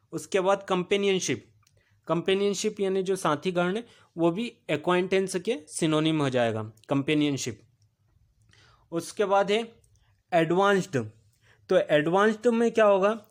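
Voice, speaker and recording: A male teacher, giving a recorded vocabulary lesson. He repeats each meaning as a question, answers it himself, and pauses on "uh". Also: sits high. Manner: steady explaining pace with filler "अ" pauses.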